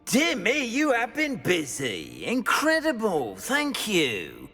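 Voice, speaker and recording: A man's voice: high-pitched, wildly swooping pitch, slow, pushed and bright, long reverb tail, faint music behind, exaggerated voice. A man playing an anxious, fussy wizard school caretaker in a video game, speaking in a dramatic, hesitant, florid way. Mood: grateful, earnest. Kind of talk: video game character acting.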